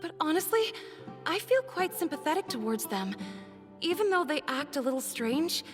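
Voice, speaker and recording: A woman's voice: high-pitched, very animated, normal speed, big-room sound, music in the background. A woman voicing a timid, wary young villager in a video game, polite, guarded and hesitant. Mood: nervous. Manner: hesitant, nervous.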